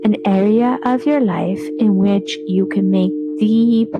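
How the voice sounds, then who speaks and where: A woman leading a guided relaxation meditation in a soothing, unhurried way, with phrases trailing off breathily. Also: mid-range voice, lo-fi sound. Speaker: yoga nidra meditation guide. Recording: voice over background music.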